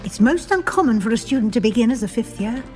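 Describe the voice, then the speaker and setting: Actress as gracious British school deputy head, formal, kindly, higher pitch, game dialogue, faint music. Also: female voice, expressive, quick pace, a little mellow, lightly acted. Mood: welcoming. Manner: polite, formal, welcoming. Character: kindly, proper female deputy headmistress. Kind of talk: video game voice acting.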